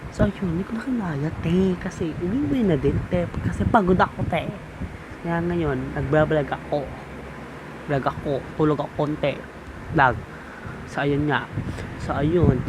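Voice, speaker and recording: A young man with a Filipino accent tells stories casually to his vlog viewers. His voice sits slightly high, and his delivery is campy, gossipy and dramatic. Loud noise runs behind him throughout the homemade recording. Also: a little slow. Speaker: vlogger. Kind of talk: casual storytime vlog chat.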